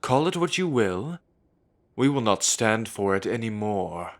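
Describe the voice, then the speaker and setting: A proud werewolf council elder, voiced by a man, contemptuous and cold toward the young man before him. His voice sits fairly low. He sounds ready to make a threat at any moment in this audio drama. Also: big pitch swings, a little slow.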